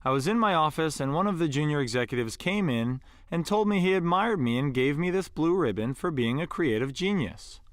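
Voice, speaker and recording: A man voicing a busy middle-aged father in a story, speaking in a heartfelt, halting confession. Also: a little high, expressive, dry recording, studio quality, lightly acted. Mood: moved, sincere.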